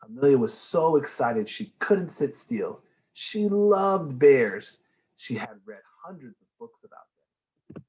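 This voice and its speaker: Slow, very animated male storybook reader. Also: mid-range voice, rough recording. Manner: enthusiastic, expressive. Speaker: read-aloud reader for children. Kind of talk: storybook narration for young children.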